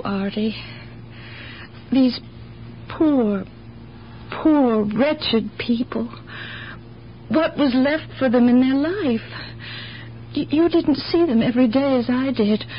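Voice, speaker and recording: An actress playing a gentle, sorrowful older aunt in a radio drama, halting and plaintive as she earnestly justifies herself. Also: high voice. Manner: slow, halting, plaintive delivery. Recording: muffled, hissy old radio broadcast.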